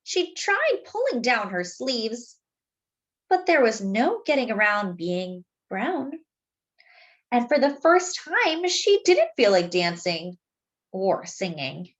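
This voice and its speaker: A woman reading a children's story aloud expressively, phrasing deliberately as if to young listeners. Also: fairly high pitch, very animated. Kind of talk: expressive picture-book read-aloud with asides to young listeners.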